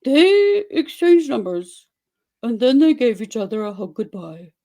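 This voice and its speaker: Lady doing an over the top dramatic story reading, high mellow voice, really slow w big pauses. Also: very animated. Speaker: dramatic reader.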